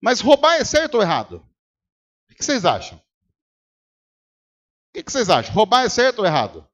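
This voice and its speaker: A man's voice: high voice, huge pitch swings, moderate pace, a bit bright, dull audio. A man with a Brazilian Portuguese accent preaching a sermon as pastor, arguing rhetorically and putting questions to his congregation. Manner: rhetorical, quizzes the congregation and acts out arguments. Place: church service.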